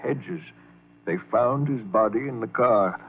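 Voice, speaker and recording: A man's voice: mid-range voice, measured pace, husky. A sly, paternal older fellow from an old radio crime play. He talks in blunt, folksy slang with a wry, confiding turn. The recording is narrow and phone-like, as from an aged broadcast. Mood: hushed, confiding. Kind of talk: old-time radio crime drama acting.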